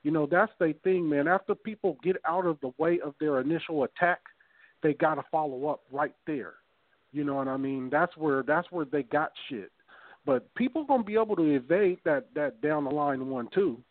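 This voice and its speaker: Man, 30s, podcast co-host, casual rambling chat, slightly raised pitch, tinny phone-quality audio. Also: normal speed, no echo. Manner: loose, rambling, swears freely, restarts often. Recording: tinny phone-line sound.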